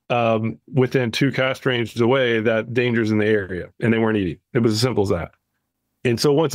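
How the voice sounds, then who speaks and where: Mid-pitched man, halting casual podcast talk. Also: no echo. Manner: halting, repeats words as he explains. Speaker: podcast co-host.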